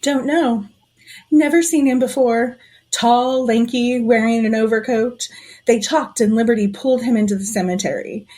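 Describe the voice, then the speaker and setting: A woman playing a shrewd, suspicious conspirator in a period audio drama, telling things in a knowing, deliberate way. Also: fairly high pitch, dark tone, close to an everyday voice.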